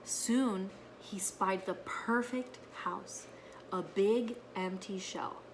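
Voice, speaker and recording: A woman reading a children's story aloud in an expressive, teacherly way, with asides to her listeners. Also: mid-range voice, extremely slow, slight background noise, poor audio.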